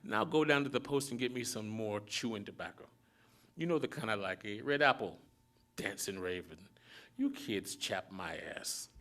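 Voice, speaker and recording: A man voicing a gruff older male mechanic who lectures a young man in a rough, drawling way. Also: fairly low pitch, animated, moderate pace, fairly bright tone. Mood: grumbling.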